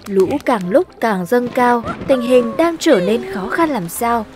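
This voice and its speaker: A woman's voice: on the high side, lively intonation, takes it slowly. A woman narrator reading scripted, rhyming narration for a children's cartoon. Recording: music behind the voice.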